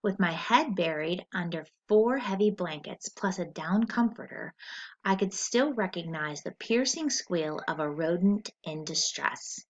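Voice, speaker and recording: A woman teacher reading a story aloud in an expressive, deliberate, instructional way, as for a lesson with questions. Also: on the low side. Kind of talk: scripted story read-aloud with comprehension questions. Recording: dull lo-fi recording.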